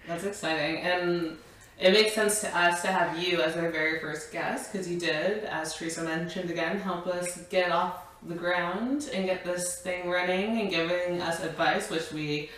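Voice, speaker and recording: A woman's voice: on the low side, fairly even intonation, normal speed, a bit bright, slight background noise. A woman co-hosting a podcast, leading a casual interview with upbeat, probing questions. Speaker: podcast co-host. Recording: very echoey room with background music.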